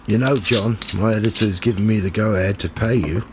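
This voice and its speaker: A man's voice: lowish voice, steady tone, a little fast, background noise, close to an everyday voice. A man acting a cynical, smug tabloid reporter in a radio play, with a sardonic, goading manner. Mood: coaxing, sly. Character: cynical, smug male tabloid reporter narrating his own investigation. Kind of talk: radio play acting with first-person narration.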